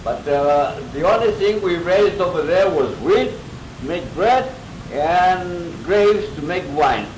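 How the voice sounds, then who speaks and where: An older man in his eighties, from Greece, recalls his early life in a rambling way, chuckling between memories. His voice sits a bit high for a man and is heard from well off the mic. The room rings, and a steady hiss runs under the home tape. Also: takes it slowly, echoey room, distant mic, low-quality recording. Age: 80s. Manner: chuckling, rambling storyteller with restarts. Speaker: interviewee, grandfather. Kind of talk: casual oral-history interview.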